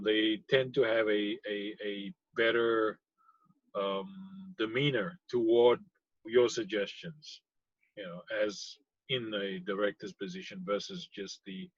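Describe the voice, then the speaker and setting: A man from South Africa, a pharmacy technician, answering interview questions thoughtfully, with frequent pauses and fillers. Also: fairly low pitch, dry recording, muffled recording. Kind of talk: conversational interview.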